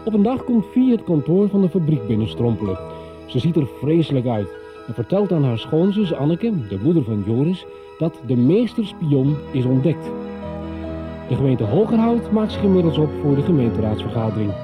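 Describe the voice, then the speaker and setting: A man's voice: on the high side, big pitch swings, moderate pace. Radio serial narrator, man: scripted recap, very varied intonation, muffled lo-fi recording, music bed.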